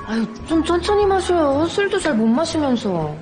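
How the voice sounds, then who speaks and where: A lively thirty-year-old woman. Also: high voice, normal speed, over music. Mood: teasing.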